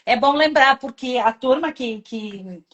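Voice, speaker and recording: Uma mulher com sotaque do sul do Brasil, apresentadora de uma live, conversando de forma informal e falante, com recomeços e interjeições. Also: female voice, high voice, animated, unhurried, fairly bright tone, muffled recording. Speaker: host. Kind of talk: casual chat. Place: home kitchen livestream.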